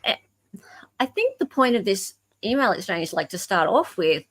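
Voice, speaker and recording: A woman with an Australian accent co-hosting a casual discussion of legal emails, explaining things in a halting, self-correcting way. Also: mid-range voice, sing-song, husky. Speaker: podcast co-host.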